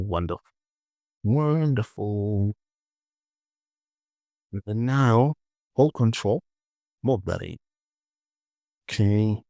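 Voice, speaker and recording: A man giving an informal software tutorial as a voice-over, often repeating words and short phrases. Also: lowish voice, creaky, no echo. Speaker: 3D modelling tutor. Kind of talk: casual screen-recorded software tutorial.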